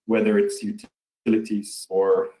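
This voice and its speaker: A man from Norway giving a conference talk over a video call, speaking haltingly with many fillers and restarts. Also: fairly low pitch, little pitch movement, warm tone. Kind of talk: online conference presentation.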